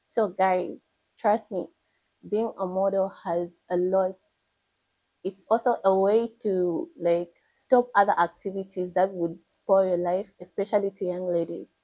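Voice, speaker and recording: A woman's voice: mid-range voice, steady tone, a little slow, darkish tone, low-quality recording. Eager nineteen-year-old Zambian woman. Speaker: pageant contestant. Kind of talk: prepared self-introduction to camera.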